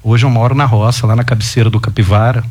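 A man, a guest on a talk show, chatting casually and telling his family story with lively rising emphases. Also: mid-range voice, quick pace. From Goiás, Brazil. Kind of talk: casual chat.

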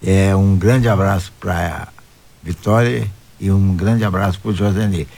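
Male radio host, low voice, unhurried interview pacing. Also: steady tone. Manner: slow, warm greetings to listeners, hesitant fillers. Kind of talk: radio talk show interview.

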